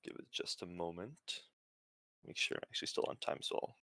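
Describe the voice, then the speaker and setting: A young man presenting at an online conference, talking in a flat, near-monotone way with many fillers and restarts. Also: sits low, fairly quick, dry recording.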